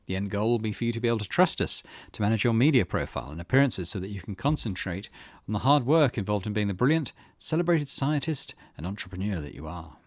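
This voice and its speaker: A man reading the part of a formal, businesslike woman publicist in a visual novel, with measured corporate phrasing. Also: on the low side, sing-song, no room sound. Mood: polished, businesslike.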